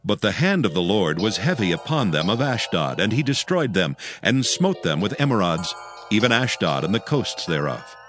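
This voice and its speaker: Low-pitched solemn male Bible narrator. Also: very animated, normal speed. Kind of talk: scripted dramatized Bible narration. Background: background music.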